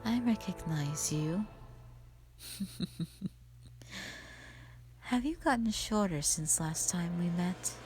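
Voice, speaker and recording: A woman's voice: lowish voice, animated, slow, pauses often, darkish tone, soft background music. A woman voicing a gloating female spider monster who mocks her prey theatrically in an audio roleplay. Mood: mocking glee. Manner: mocking, theatrical, chuckling.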